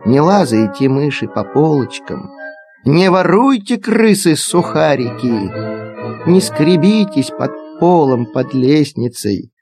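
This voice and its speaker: A man reciting children's verse as a theatrical storyteller, expressive and dramatic. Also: a little high, takes it slowly. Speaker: storyteller, performer. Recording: digitized cassette audio play. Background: music.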